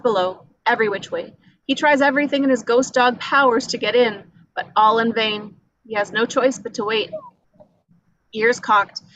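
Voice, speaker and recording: A woman's voice: on the high side, a bit bright, slight background noise, rough recording. A woman reading screenplay action descriptions at a table read in a dramatic storytelling manner. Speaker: reader of stage directions. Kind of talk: scripted narration at a table read.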